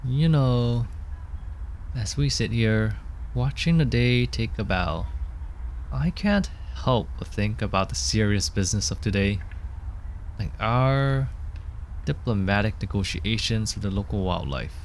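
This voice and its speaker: A low-pitched, affectionate boyfriend acted by a man in an ASMR roleplay, taking his time over every phrase. He is playful and whimsical, giving small things a mock-grand flourish. Outdoor park ambience sits behind his voice. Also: lively intonation, measured pace, a little hiss or hum. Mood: playful, tender. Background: park ambience sound effects.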